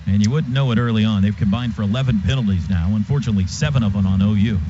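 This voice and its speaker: A man's voice: on the low side, very fast. An American man doing live football play-by-play on the radio, following the action in clipped, vivid phrases. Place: stadium radio booth. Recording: dull, noisy live radio broadcast.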